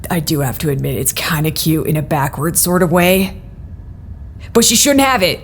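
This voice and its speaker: An actress playing a worried, cautious but amused facility officer, talking haltingly and naturally in an audio drama. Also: female voice, deep voice, lively intonation, a little hiss or hum. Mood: wry, amused. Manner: hesitant, halting, conversational.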